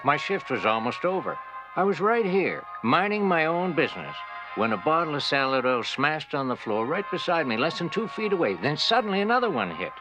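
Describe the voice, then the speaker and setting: A fired-up janitor, higher voice bouncing around, music behind. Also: male voice, very animated, fairly quick, low-quality recording. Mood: aggrieved. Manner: aggrieved, dramatic. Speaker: interviewee.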